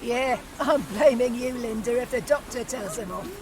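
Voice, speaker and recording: An actress playing a brash, chatty, jokey older working-class wife in an audio drama. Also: female voice, a little high, moderate pace, a crowd in the background, close to an everyday voice. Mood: brash, friendly. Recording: noisy outdoor street ambience.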